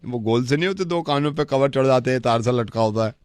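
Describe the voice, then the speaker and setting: A man making a prank phone call, telling a rambling story insistently and repetitively in a mock-earnest deadpan way. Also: medium pitch, quick pace, smooth, no echo. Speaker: radio prank caller.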